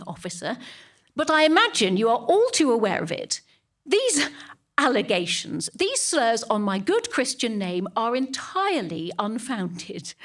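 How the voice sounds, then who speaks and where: A British woman acting a devout, defensive churchgoer with a guilty secret, delivering a halting, indignant dramatic monologue that repeats phrases for emphasis. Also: fairly high pitch, heavily acted. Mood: defensive, prim. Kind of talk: dramatic monologue to a police officer.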